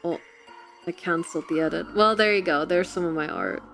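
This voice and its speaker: A woman's voice: lowish voice, fairly quick, vocal fry, a bit bright. A woman livestreamer chatting casually and expressively with her audience in a giggly, chatty manner. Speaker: livestream host and artist. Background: background music.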